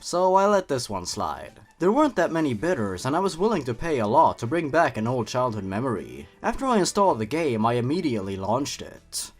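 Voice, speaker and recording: Animated young man reading internet horror stories. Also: mid-range voice. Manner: playful, wry asides while reading. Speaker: YouTube host and narrator. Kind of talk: commentary and expressive reading of an online horror story. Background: background music.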